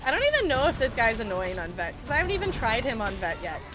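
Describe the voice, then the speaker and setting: High-pitched, very animated woman streamer. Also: quick pace. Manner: expressive, playful exclamations and pleading. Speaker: live streamer. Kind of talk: casual gameplay commentary, chatting with viewers.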